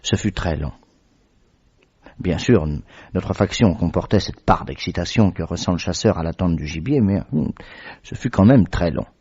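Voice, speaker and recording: Here is a man performing a loyal doctor narrating a radio drama in a measured, storytelling voice. His voice sits very low and has a husky edge. The sound is dull and lo-fi, like an old radio recording. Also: expressive, warm tone, exaggerated voice. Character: Dr Watson, loyal male companion and narrator.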